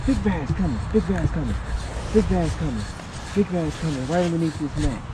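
A man vlogging while fishing at a marshy pond, talking excitedly to his viewers and his child. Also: fairly high pitch, moderate pace. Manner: excitable, talks to viewers as "guys". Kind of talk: casual outdoor vlog narration. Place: outdoors by a marshy pond in woods. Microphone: body-worn action camera. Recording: outdoor camera with clear background noise.

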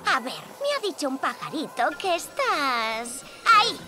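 A woman playing a confident, excitable little superhero girl in a Castilian Spanish cartoon, showy and bossy. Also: high-pitched, a little slow, over loud music. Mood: confident planning. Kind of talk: children's cartoon dub.

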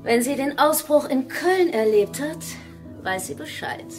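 An actress playing a grave apocalypse survivor in a radio drama, explaining things in a matter-of-fact, emphatic way. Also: female voice, sits high, animated, a little slow, soft background music. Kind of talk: German radio drama acting.

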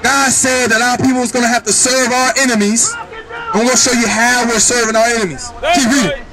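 A man, preaching confrontationally on the street, loudly questioning passers-by through a microphone. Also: extremely high pitch, fairly even intonation, brisk, rough recording. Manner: loud, insistent, questioning bystanders. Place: under a canopy on a city street. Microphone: handheld microphone through a loudspeaker. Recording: outdoor street PA with crowd noise.

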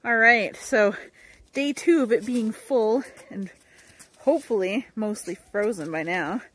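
Woman, casual vlog narration, outdoor handheld camera, fairly high-pitched. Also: a little slow, slightly rough, rough recording. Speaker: vlogger filming her family. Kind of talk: casual chat. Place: snowy backyard outdoors.

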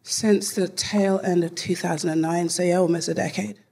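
A woman's voice: lowish voice, fairly even intonation, moderate pace, mellow, breathy edge. Laughing London woman on a stage panel. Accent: London English. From London, England. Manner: chatty and laughing often, with many fillers. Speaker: panel guest. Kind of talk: live panel conversation. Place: theater stage in front of a live audience. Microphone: handheld mic.

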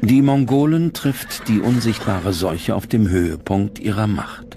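A man narrating a documentary script in a grave, measured, dramatic way. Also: fairly low pitch, noisy background. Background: prominent background music.

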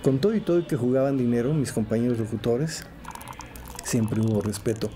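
A man's voice: mid-range voice, a little fast, warm tone, a little hiss or hum. A man with a Mexican accent recalling old colleagues in anecdotes during an interview.

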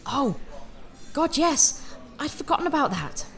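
An actress playing a helpful, candid widow in an audio drama. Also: female voice, sits high, big pitch swings, unhurried, vocal fry, a bit bright, full character voice. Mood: wistful.

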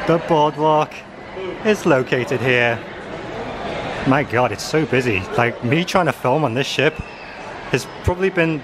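A man giving a casual walking video tour as a travel vlogger, talking as he shows viewers around. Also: mid-range voice. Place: walking around a busy cruise ship, indoors and on open decks. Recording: busy, noisy public ship ambience.

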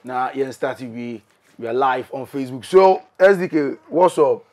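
A man's voice: mid-range voice, lively intonation, moderate pace, darkish tone. A young Ghanaian man hosting a casual interview, playful and bantering, slipping into pidgin. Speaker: interview host. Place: small recording studio indoors.

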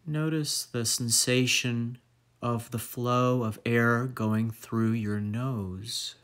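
A man leading a guided meditation as instructor, speaking in measured phrases with long pauses. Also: medium pitch, fairly even intonation, fairly bright tone.

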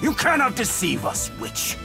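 Gravelly, embittered warrior, high and harsh. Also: male voice, huge pitch swings, normal speed, over music, heavily acted. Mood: angry, accusing. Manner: accusing, confrontational. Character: angry accusing warrior, bitter over fallen comrades. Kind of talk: dramatic video game acting.

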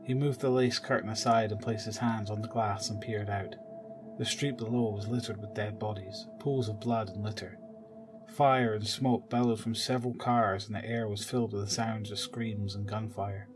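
Male narrator for an audio drama, low voice, music clearly behind him. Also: fairly even intonation, normal speed.

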